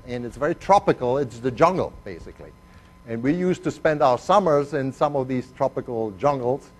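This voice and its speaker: Older male lecturer with a foreign accent. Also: medium pitch. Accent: mixed foreign accent, Spanish first language. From Argentina. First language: Spanish. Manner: digressive and self-deprecating, with frequent "uh" pauses. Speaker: chemistry lecturer. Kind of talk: university lecture.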